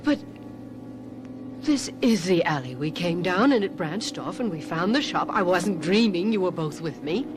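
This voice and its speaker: A woman's voice: on the low side, lively intonation, normal speed, over music, lightly acted. Actress as desperate, urgent middle-aged mother. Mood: anxious, insistent. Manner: dramatic, urgent. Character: desperate, sharp-tongued mother caught in a bewitching. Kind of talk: radio mystery drama acting.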